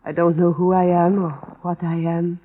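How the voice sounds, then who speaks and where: A woman's voice: on the low side, little pitch movement, moderate pace, narrow, tinny audio, close to an everyday voice. Casting: anxious, formal wife of a missing man played by an actress, thin, faintly hissy vintage radio recording. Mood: brooding, tense. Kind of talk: 1950s radio drama acting.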